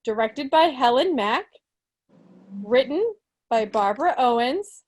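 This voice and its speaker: A woman's voice: on the high side, animated, a little slow. A woman in her thirties chatting casually on a home video call, with a playful, self-deprecating manner. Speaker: club quiz presenter. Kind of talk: casual, chatty club meeting talk. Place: small living room at home, video call. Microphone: video call from a seat in an armchair.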